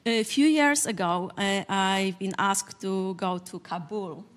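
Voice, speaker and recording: Polish-accented woman, panel speaker, mid pitch, steady and plain. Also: slow. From Poland. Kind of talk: conference panel talk.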